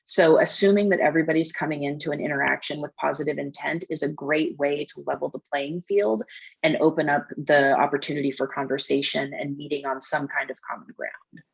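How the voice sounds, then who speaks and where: A woman's voice: sits low, steady tone, a little fast, creaky, husky, poor audio. A woman answering interview questions about business in an earnest, thoughtful way, restarting some sentences. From United States. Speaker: podcast guest, sales manager. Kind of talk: casual interview talk.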